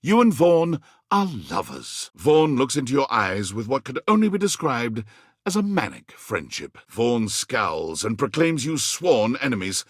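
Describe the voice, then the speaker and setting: A man's voice: mid-range voice, very animated, measured pace, no echo, clean studio sound. A man acting as the narrator of a dating video game, delivering theatrical scripted narration addressed to the player. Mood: dramatic, arch.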